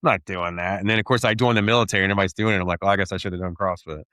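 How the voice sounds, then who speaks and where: A man hosting a podcast who asks relaxed, curious follow-up questions. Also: sits low, racing, no echo, studio quality. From Tennessee, USA. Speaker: podcast host. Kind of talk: conversational interviewing.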